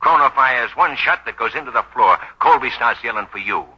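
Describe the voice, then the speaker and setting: An actor playing a suspicious, sarcastic police detective who badgers bluntly, in a 1940s radio drama. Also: male voice, mid-range voice, expressive, brisk, breathy edge. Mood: needling. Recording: dull, lo-fi 1940s radio recording.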